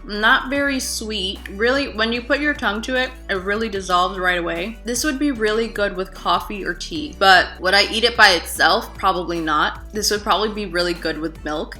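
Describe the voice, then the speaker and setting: Bright chatty woman in her 30s trying snacks in her living room, faint music underneath. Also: medium pitch. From United States. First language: English. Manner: chatty, animated reactions while tasting. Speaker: food vlogger. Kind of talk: casual taste-test vlog. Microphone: camera at desk distance.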